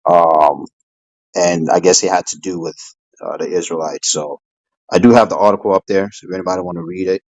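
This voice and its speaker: A man moderating an online discussion room, hesitant and filling pauses with "um" and "right?". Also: low-pitched, fairly even intonation, darkish tone, dry recording, poor audio. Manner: hesitant, full of fillers and "right?". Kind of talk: casual moderating and commentary.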